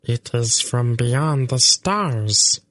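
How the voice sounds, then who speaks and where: A small furry male fenling creature, speaking in a high, piping chitter that sits far above an adult man's range. He talks in earnest, formal phrases, slowly and deliberately. The sound is dry and close. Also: lively intonation, a bit bright, no room sound. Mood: solemn. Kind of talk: audiobook character voice.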